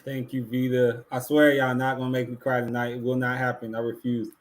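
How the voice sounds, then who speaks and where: A man's voice: mid-range voice, flat delivery, brisk. A man casually hosting a live awards show and announcing finalists and winners.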